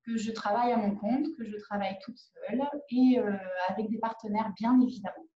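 A woman in her forties speaks over a webcam from her living room. She pauses often and restarts her sentences. Her voice is dark and mellow and a little high, and the call audio sounds dull and roomy. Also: steady tone. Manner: hesitant, many fillers and restarts. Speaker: independent perfumer. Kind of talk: conference video call interview. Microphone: laptop webcam on a video call.

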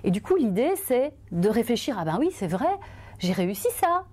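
A woman in her forties from Belgium, a journalist and author, telling her own story to camera in an earnest, expressive way. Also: on the high side, normal speed. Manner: earnest, expressive, lively hand gestures. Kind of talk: testimonial interview to camera.

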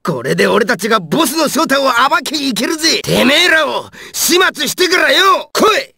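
An actor playing a hot-tempered male ice-wielding villain in an anime video game, with a coarse, raspy, gravelly, snarling delivery. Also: very high voice, very animated, normal speed, bright, forceful tone, no room sound, studio quality, full character voice. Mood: scheming, then battle fury.

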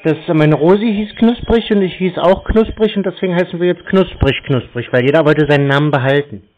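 Man, casual phone chat, terse and deadpan, slightly high voice, narrow telephone sound. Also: a little fast. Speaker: prank call recipient baiting phone scammers.

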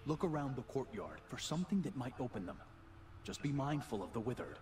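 A man plays a watchful elf guide in a fantasy game. His measured, cautioning lines are very animated and ring with echo over clear background noise. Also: medium pitch, close to an everyday voice. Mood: measured concern. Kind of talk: fantasy video game acting.

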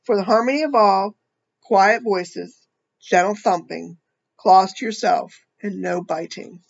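A woman reading the lines of a gentle, patient male muskox who calmly sets firm rules. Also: mid-range voice, unhurried, gravelly, highs cut off. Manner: calm, firm, lays down rules.

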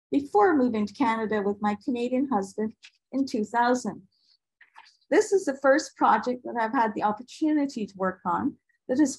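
An older woman from Barbados reading a prepared presentation in a measured, formal manner on a video call. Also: fairly high pitch, husky, low-quality recording. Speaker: artist and panel presenter. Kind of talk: prepared presentation read aloud. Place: indoor room with bookshelves, on a video call. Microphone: video call microphone.

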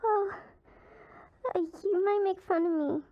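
Tiny, very high-voiced little girl. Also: unhurried, muffled recording. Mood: timid, curious. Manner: childlike, whiny, earnest. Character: timid, pleading little girl. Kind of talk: radio drama acting.

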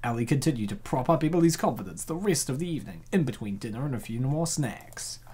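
A man narrating a comic dub and cracking jokes between panels. He laughs often, and his pitch jumps around a lot. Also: quick pace, a little hiss or hum. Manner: joking, laughs often, animated. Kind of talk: narration with joking commentary.

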